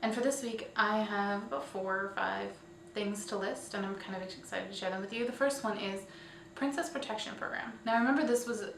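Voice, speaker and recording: A woman's voice: mid-range voice, fairly quick, creaky, hardly any pauses. A woman hosting a casual online show, talking informally and a little hesitantly as if thinking aloud. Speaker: host of a weekly online show. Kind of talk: casual scripted-outline vlog commentary. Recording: roomy, distant mic, faint noise.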